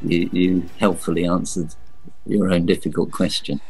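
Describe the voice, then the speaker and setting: A man's voice: low voice, steady tone, moderate pace, dark tone, slightly rough, poor audio. A man from England, a guest author, answering in a hesitant, thoughtful way with repeated words. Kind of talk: interview answers.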